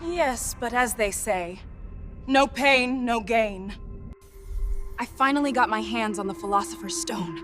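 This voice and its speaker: A woman's voice: fairly high pitch, a little slow, over music, close to an everyday voice. A woman playing a bold young superhero-comic character in a motion comic. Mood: vengeful, cocky.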